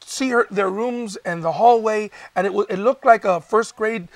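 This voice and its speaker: High-voiced man hosting a TV show. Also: moderate pace, no room sound, recorded from a distance. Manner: enthusiastic, playful, jokey. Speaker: TV show host. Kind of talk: excited talk show chat. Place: TV studio.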